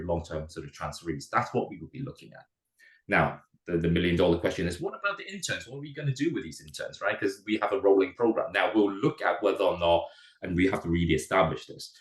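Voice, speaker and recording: Fast-talking hoarse man, very deep. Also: echoey room, poor audio. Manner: fast, with many repeated words and restarts. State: hoarse from a cold. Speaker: immigration adviser. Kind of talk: webinar presentation.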